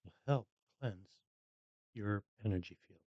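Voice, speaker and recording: A meditation guide speaking calmly and slowly in short, unhurried phrases with long pauses, leading a scripted relaxation. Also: low-pitched, breathy edge, no room sound, dull audio.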